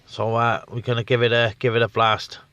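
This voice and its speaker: A man talks casually from inside his parked vehicle, stopping on fillers as he goes. His voice is bright and crisp. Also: mid-range voice, level tone, dull audio. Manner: chatty, with many filler pauses. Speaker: CB radio operator. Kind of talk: casual radio chat and vlog talk.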